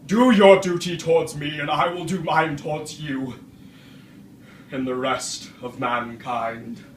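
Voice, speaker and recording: Man performing a slow, anguished monster, roomy sound. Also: fairly high pitch, steady tone, close to an everyday voice. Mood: indignant. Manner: theatrical, archaic, deliberate oratory. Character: Frankenstein's wretched male creature, anguished and vengeful. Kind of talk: dramatic stage monologue. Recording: roomy, distant microphone, faint noise.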